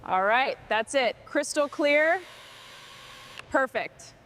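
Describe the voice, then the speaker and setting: A woman's voice: sits high, expressive, slow, fairly bright tone, slight background noise. A woman giving clipped, approving commentary to herself while working on a vehicle restoration. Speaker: restorer narrating own work. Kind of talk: short task commentary during vehicle restoration.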